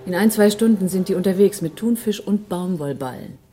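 A woman with a dark, husky voice acting a crook's lover in a German radio drama. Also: medium pitch, a little fast, faint music behind, close to an everyday voice. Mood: matter-of-fact. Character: female partner of a crook, questioning her lover.

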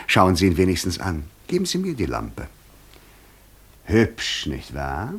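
Sly, mocking gentleman devil. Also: low-pitched, huge pitch swings, slow, breathy edge, full character voice. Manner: sly, ironic, theatrically polite. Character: suave, mocking devil posing as a courteous gentleman. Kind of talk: classic German radio play acting.

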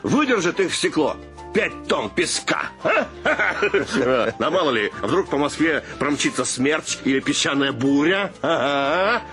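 Animated, brash bohemian schemer. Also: male voice, a little high, takes it slowly, soft background music, heavily acted. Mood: scheming. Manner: bantering, persuasive, exclamatory. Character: brash, wisecracking bohemian photographer, an enthusiastic schemer. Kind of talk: radio play acting.

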